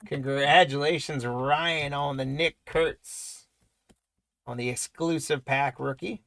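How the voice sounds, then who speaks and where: A man hosting a live trading card break, rattling off player names and congratulating viewers, his voice going hoarse. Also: a little high, fairly even intonation, slow pace, a bit bright, dry recording. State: voice weakening, losing it.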